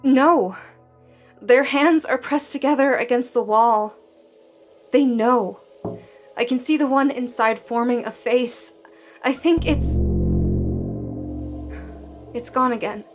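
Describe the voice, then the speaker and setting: An idealistic woman recruit in an audio drama, her voice on the high side. She speaks haltingly and with feeling, horrified and defiant, over faint music. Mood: indignant, resolute. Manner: halting, emotional, indignant.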